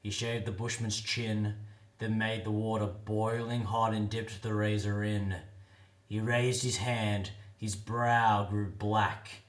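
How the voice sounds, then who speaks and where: Guy reading a comic ballad out loud, real theatrical, slowish, bit of room echo. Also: fairly low pitch. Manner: theatrical, expressive storytelling. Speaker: poetry reader. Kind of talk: dramatic recitation of a comic ballad.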